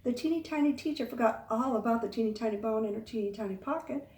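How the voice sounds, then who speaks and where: A woman reading a picture book aloud to young children, expressive, pausing to ask the listeners questions. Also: on the high side. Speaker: children's storytime reader. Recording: echoey room, far from mic.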